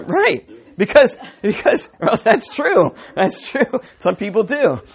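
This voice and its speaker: Sniffly, slightly raspy male pastor preaching. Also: high voice, big pitch swings, slow pace. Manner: conversational, chuckling, asks the congregation "right?". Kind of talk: sermon.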